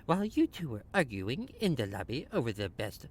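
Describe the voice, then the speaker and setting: A woman's voice: a bass voice, very animated, brisk, exaggerated voice. A woman playing a sensible, articulate, somewhat pedantic detective partner in a comic audio drama. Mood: exasperated.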